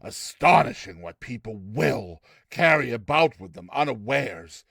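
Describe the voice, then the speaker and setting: A slippery, smiling magic-shop proprietor from a storybook as performed by a man reading aloud. His middle-pitched voice rises and falls dramatically with polished, fawning salesmanship. The sound is dry and close. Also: very animated, unhurried, a bit bright, no echo, heavily acted. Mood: suave salesmanship. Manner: smooth, obsequious, showmanlike. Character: suave, smiling, faintly sinister male magic shopkeeper.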